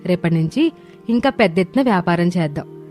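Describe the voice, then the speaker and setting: A woman's voice: lowish voice, lively intonation, a little fast, a little mellow, soft background music, natural voice. A woman playing a respectful, earnest young daughter-in-law in a village cartoon moral story. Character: hard-working, polite young village daughter-in-law selling food. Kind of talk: dramatised moral story dialogue.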